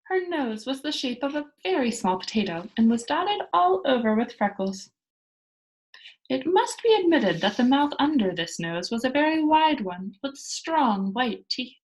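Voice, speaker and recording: A woman reading a children's story aloud as narrator, in a deliberate, expressive storytelling manner. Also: fairly high pitch, poor audio.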